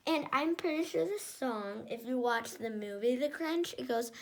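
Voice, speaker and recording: A woman reading a children's picture book aloud, expressive and chatty, breaking into casual remarks on the pictures. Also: high voice, moderate pace.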